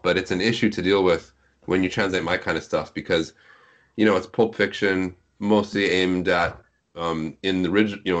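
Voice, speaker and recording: Guy with a deep voice explaining stuff casually on a podcast call, modest about himself. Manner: self-deprecating, explanatory. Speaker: guest, literary translator. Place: at home on an internet call.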